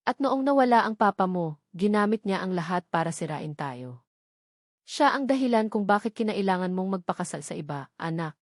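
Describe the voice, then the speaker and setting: A woman's voice: mid-range voice, darkish tone, no echo, studio quality, natural voice. A Filipino woman narrator voicing an older mother and grandmother, protective, pleading and remorseful, in a dramatic story.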